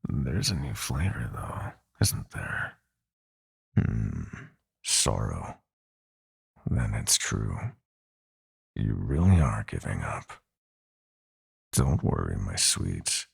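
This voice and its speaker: A man playing a sadistic, possessive god of nightmares in an audio roleplay, with a gravelly, breathy growl and a slow, taunting, theatrical delivery. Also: rumbling low, very animated, exaggerated voice. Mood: gloating menace. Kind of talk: dramatic audio roleplay villain.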